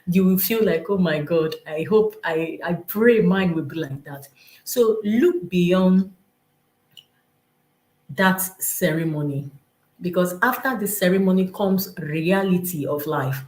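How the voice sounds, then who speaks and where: Woman, Nigerian accent, lowish pitch, emphatic, repetitive, conversational teaching, echoing room, live-stream sound. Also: slow pace, a little mellow. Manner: emphatic, repetitive, conversational, acting out imagined remarks.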